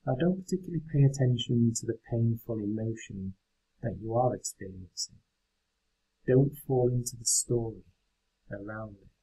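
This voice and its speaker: A man guides a meditation with gentle, unhurried instruction. His voice is mellow and relaxed, with a husky, breathy edge and an average male pitch. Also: highs cut off.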